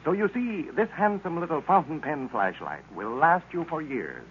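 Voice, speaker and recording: A man's voice: on the high side, sing-song, normal speed. A man working as an old-time radio announcer, reading scripted sponsor copy with polished, persuasive salesmanship. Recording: tinny, noisy old radio recording.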